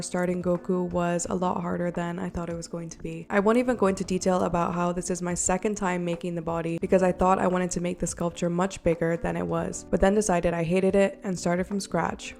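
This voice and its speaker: A young woman narrating her craft video in a friendly, chatty way, her voice still a little rough from being sick. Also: fairly low pitch, little pitch movement, fairly quick, hardly any pauses. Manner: chatty and self-deprecating, with little laughs. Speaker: polymer clay artist narrating a craft video. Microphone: borrowed desk mic. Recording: soft background music.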